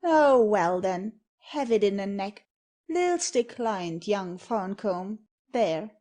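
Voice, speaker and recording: An actress playing a sharp-tongued young woman in an audio stage satire, slangy and mocking in manner. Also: medium pitch, animated, slow. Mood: losing temper.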